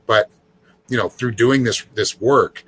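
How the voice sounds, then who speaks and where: A man's voice: medium pitch, unhurried, a bit bright, breathy edge. A man in his forties who grew up in a small town, hosting an earnest, casual conversation about spirituality and now and then swearing to make a point. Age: 40s. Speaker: spiritual host.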